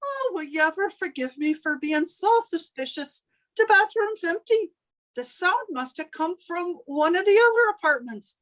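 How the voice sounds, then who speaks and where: A woman's voice: very high-pitched, expressive, normal speed, a bit bright, low-quality recording. A woman playing a prying, theatrical landlady in 1940s-style radio comedy. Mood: apologetic. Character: suspicious, strict landlady Missus O'Reilly, snooping and apologetic. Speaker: actress in theatre company.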